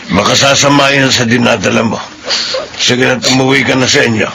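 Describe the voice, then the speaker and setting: A male actor plays an elderly retired soldier in a radio drama, patient and principled. His mid-pitched voice is gravelly and creaky, and the recording is clearly noisy. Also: fairly even intonation, a little fast, close to an everyday voice. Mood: gentle, consoling. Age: older. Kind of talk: radio drama acting with narration.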